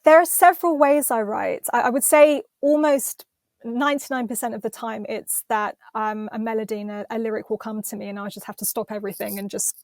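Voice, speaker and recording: An English woman with a fairly high voice talks with eager enthusiasm, often restarting her sentences. Her voice sounds close and dry, with little room around it. She is a singer chatting as a guest. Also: fairly even intonation, fairly quick, darkish tone, no room sound. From London, England. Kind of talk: casual interview chat.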